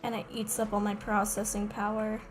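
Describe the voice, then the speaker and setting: A woman's voice: mid-range voice, completely flat delivery, a little fast. A woman chatting casually and musingly, with some hesitation, as she commentates on a game livestream. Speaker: streamer. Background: faint game music.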